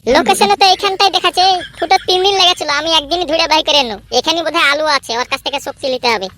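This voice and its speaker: A woman playing a scheming boy ringleader in a cartoon. The voice is high and quick, bossy and impatient. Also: sharp tone. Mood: gloating. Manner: impatient, commanding, boastful. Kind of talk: amateur comedy cartoon dub.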